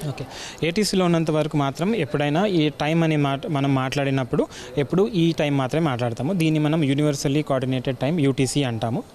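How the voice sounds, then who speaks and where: A man with an Indian accent explaining technical work in an interview, speaking haltingly and mixing in English terms. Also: a little high, darkish tone. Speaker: air traffic controller explaining his work.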